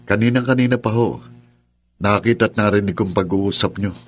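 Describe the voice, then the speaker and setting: An actor playing a young, dutiful and protective son with a Filipino accent in a radio melodrama. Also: male voice, lowish voice, fairly quick, slightly rough. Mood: quiet, respectful. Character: dutiful, protective adult son, quietly resentful for his parents.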